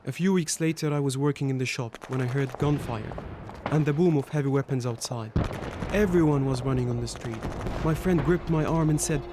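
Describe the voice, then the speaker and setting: A man playing a somber Syrian activist, reading a measured, grave first-person diary as narration for a radio documentary. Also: mid-range voice, background noise, natural voice. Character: Syrian activist recounting life under occupation, somber. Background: music.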